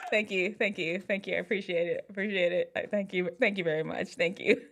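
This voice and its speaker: A woman from Jamaica chatting casually to camera, telling stories and laughing at herself, with big upward swoops when she gets excited. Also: mid-range voice, brisk, heavy background noise. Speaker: vlogger.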